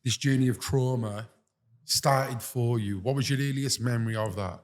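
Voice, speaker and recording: A man's voice: mid-range voice, moderate pace. A man hosting an interview, talking in a rambling, chatty way that is full of fillers and restarts. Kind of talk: casual interview chat.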